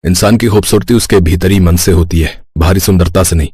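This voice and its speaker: A man's voice: low-pitched, fairly quick, a little mellow, husky, no echo, clean studio sound. A man playing an earnest, kindly, reassuring helper in a folk-tale audio story. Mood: earnest, tender. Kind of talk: acted dialogue in an audio story.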